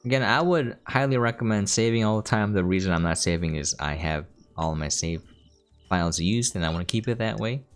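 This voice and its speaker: A man's voice: lowish voice, a little fast. A man hosting a game preview with casual, off-the-cuff gameplay commentary. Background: game music.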